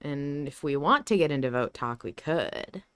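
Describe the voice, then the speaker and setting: A woman's voice: low voice, expressive, moderate pace, a bit bright, clear, resonant tone, no room sound, clean studio sound. A woman hosting a podcast, chatting casually and analytically about a story. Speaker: podcast host.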